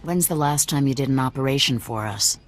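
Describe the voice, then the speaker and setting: Hard-bitten female resistance lieutenant, very low pitch, sardonic, challenging game dialogue. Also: brisk. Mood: scornful. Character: tough, distrustful female rebel enforcer with cybernetics. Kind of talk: video game dialogue acting.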